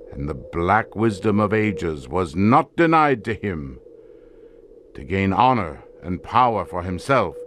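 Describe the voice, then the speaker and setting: A man's voice: lowish voice, slight background noise. An actor playing a grave desert tribesman who solemnly tells of an ancient curse in archaic, portentous language for an audio drama. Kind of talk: theatrical audio drama storytelling.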